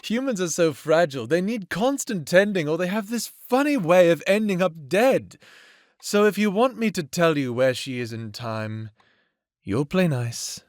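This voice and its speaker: A man's voice: high voice, animated, moderate pace, dry recording, studio quality. A man acting a sadistic, playful vampire villain who taunts theatrically in an audio drama. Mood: gleefully menacing. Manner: taunting, theatrical, toying with words.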